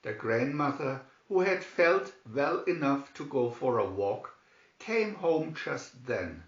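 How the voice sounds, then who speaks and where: A man's voice: mid-range voice, sing-song, takes it slowly. A man narrating a scripted fairy tale expressively as a storybook reader. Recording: roomy, slightly dull recording.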